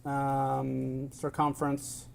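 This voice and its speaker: A middle-aged man answers questions on the witness stand in a gravelly, slightly rough voice. He is measured and technical, pausing often with small hesitations, and stays formally polite. A faint hiss of noise sits behind him. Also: medium pitch, completely flat delivery. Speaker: expert witness. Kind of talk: courtroom testimony.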